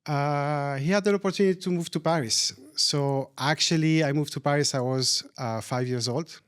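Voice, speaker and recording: A man's voice: on the high side, normal speed, clean tone. A middle-aged man born in Syria, speaking as a guest in a casual interview and often repeating words as he thinks. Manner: thoughtful, repeats words while searching for them.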